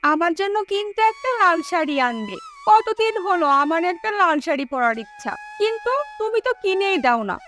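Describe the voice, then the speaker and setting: A woman voicing a greedy, nagging young village wife in a cartoon who scolds her husband rapidly. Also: very high voice, very animated, a bit bright, heavily acted. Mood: wheedling. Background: background music.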